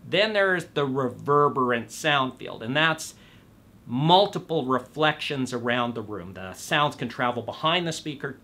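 A man in his fifties presenting to camera, explaining things in measured, emphatic phrases with stressed key words. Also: mid-range voice, expressive, sharp tone. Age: 50s. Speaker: presenter of an audio show. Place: small indoor studio room.

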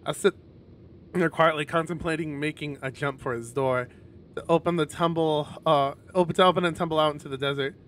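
A man reading a visual novel aloud on a stream in an expressive narrating style. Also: a little high, brisk, a little hiss or hum.

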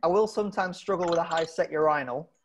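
The halting, self-deprecating British man in his thirties you would hear testing jokes from his living room at an online comedy night. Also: fairly high pitch, over a noisy crowd. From Britain. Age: 30s. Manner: halting, self-deprecating, often chuckling at his own lines. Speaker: stand-up comedian. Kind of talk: stand-up comedy set over video call. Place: indoor room at home. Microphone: wired earphones on a video call.

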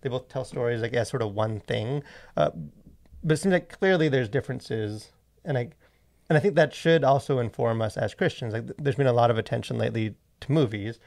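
A man in his thirties co-hosting a casual podcast, talking in a halting, wordy way as he thinks aloud, close on a studio mic. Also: mid-range voice, gravelly, a little mellow. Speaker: podcast co-host. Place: small indoor podcast studio. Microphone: close boom-arm studio microphone.

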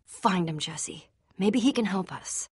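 A woman voicing a tough, guarded but loyal young female adventurer in an animated adventure game, husky and blunt, with a casual, earnest delivery. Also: fairly low pitch, takes it slowly, no echo, studio quality, exaggerated voice. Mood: frightened, hushed. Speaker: game voice actress.

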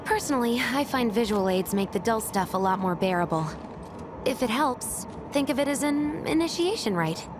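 A woman's voice: a little high, expressive, moderate pace, close to an everyday voice. Woman acting breezy, teasing young rebel, music behind. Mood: playful. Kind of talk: video game voice acting.

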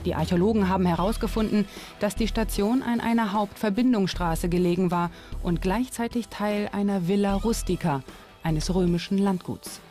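A woman's voice: medium pitch, normal speed, warm tone. A woman reading scripted documentary narration as the narrator. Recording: music behind the voice. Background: background music.

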